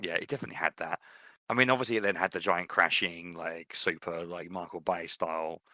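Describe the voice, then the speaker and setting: Man, low voice, dry close sound, casual podcast co-host, opinionated and rambling. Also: fairly even intonation, fairly quick, bright, forceful tone, dry recording. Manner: opinionated, talks in restarts and fillers. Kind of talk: casual chat.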